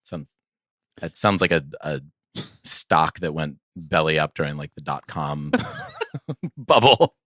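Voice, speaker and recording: A man's voice: very deep voice, sing-song, takes it slowly, pauses often, a bit bright, no room sound, clean studio sound. A man co-hosting a casual sports podcast in a wry, conversational way. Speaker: podcast co-host.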